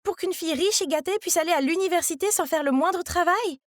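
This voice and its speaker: Desperate teenage schoolgirl, voiced by a woman, very high pitch, pleading, resentful, animated story dialogue, dry recording. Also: fairly quick, no echo.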